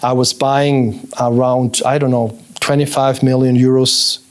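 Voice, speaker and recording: A man in his forties, a native German speaker who grew up partly in Colombia, telling his career story as a podcast guest in a quick, animated way. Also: medium pitch. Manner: fast, animated storytelling with restarts. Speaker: interview guest, fashion executive. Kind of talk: casual interview chat.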